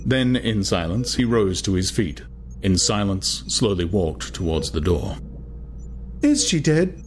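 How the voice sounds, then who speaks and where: A man's voice: low-pitched, measured pace, a little hiss or hum. A man reading a novel aloud as narrator, in dramatized, scripted literary storytelling. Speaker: audiobook narrator.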